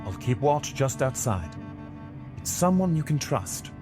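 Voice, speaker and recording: Wary inspector, swooping pitch. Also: male voice, big pitch swings, a little slow, music in the background. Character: cautious, terse male police inspector standing guard. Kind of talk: audio drama acting.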